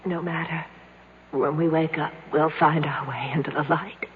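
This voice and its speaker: A woman's voice: sits low, steady tone, normal speed, close to an everyday voice. Woman acting a tender young sweetheart, tinny. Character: tender young woman in love, trapped in darkness. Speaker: actor. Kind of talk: 1970s radio drama acting. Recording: tinny, band-limited, noisy old radio recording.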